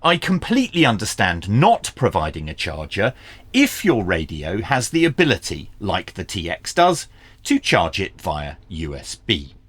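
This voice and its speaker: A man's voice: sits low, huge pitch swings, a little slow, a bit bright, slightly rough. A man presenting an unboxing product review in a plain-spoken, informal, opinionated way. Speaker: reviewer and presenter of radio gear.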